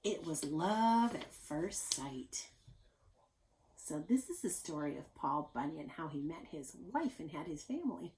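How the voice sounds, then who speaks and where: A woman's voice: sits low, very animated, a little slow, a little mellow, a little hiss or hum. Woman reading expressively to young children. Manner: simple, explanatory, addresses young children as friends. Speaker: teacher reading a picture book aloud. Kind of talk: children's read-aloud with teaching asides.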